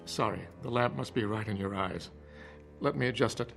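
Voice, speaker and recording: A man playing a calm professor in a radio drama, persuasive and didactic, phrasing things deliberately. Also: on the low side, gravelly, faint music behind. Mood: soothing. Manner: measured, didactic, deliberate repetition. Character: calm, persuasive male professor preaching conformity.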